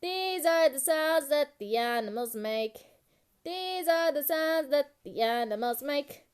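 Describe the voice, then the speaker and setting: A woman delivering a children's animal-sounds rhyme in a simple, repetitive, deliberate sing-song. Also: high-pitched, animated.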